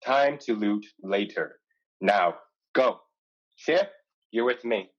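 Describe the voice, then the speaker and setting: A man playing a shrewd, authoritative pirate crew leader, speaking in measured tones in a staged play reading. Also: lowish voice, lively intonation. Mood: commanding. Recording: slightly dull, lo-fi audio.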